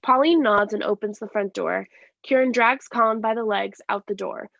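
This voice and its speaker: A woman narrates stage directions in a plain, step-by-step way. Her mid-pitched voice has noticeable creak, and the recording is dry and a little dull. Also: moderate pace, fairly bright tone, no room sound. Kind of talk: scripted narration. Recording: dry, slightly dull lo-fi sound.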